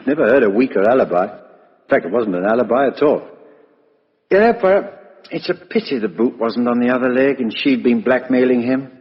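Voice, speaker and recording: An actor playing a genial, probing British chief inspector in a 1950s radio drama, colloquial and businesslike. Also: male voice, mid-range voice, animated, moderate pace, lightly acted. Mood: shrewd, chatty. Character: brisk, genial but probing male police chief inspector. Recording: muffled, reverberant vintage radio recording.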